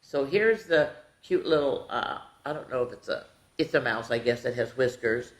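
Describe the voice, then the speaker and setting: A woman's voice: extremely low pitch, expressive, normal speed. In a roomy, echoing space, an older woman hosts a children's story time. She speaks in a playful, folksy way, breaking from the book for chatty asides to the kids. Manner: playful, folksy, addresses the young listeners directly. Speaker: storytime host reading picture books to children.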